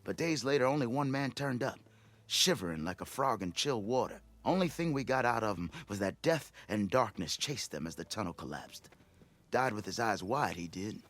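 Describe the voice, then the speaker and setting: A man's voice: on the low side, expressive, moderate pace, fairly bright tone. An actor playing a blustering, self-important expedition leader in a video game, with a theatrical, wheedling salesman's patter. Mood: grave storytelling. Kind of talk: video game cutscene dialogue.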